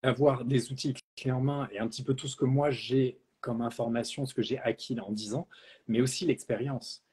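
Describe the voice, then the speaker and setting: A man's voice: medium pitch, fairly even intonation, moderate pace, darkish tone, husky. A man speaking as a naturopath and trainer in a live question-and-answer talk, outspoken and blunt, with frequent hesitations. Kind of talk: live Q&A discussion.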